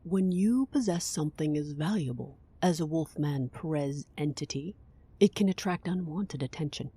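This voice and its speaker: A woman playing a cool, imperious, businesslike client in an audio drama, haughty and deadpan, with a breathy, husky voice. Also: deep voice, moderate pace, exaggerated voice. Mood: haughty explaining.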